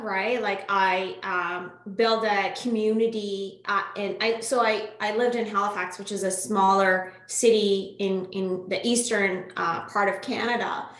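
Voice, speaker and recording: A woman's voice: mid-range voice, fairly bright tone. A woman in her thirties, born in Iran with Farsi as her first language, speaking thoughtfully as a podcast guest with many fillers and restarts. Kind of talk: conversational interview. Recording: echoey room.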